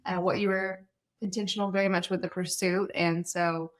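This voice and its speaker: A woman's voice: lowish voice, little pitch movement, moderate pace. A young woman speaking as a guest on a podcast, chatty and playful. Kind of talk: casual podcast conversation.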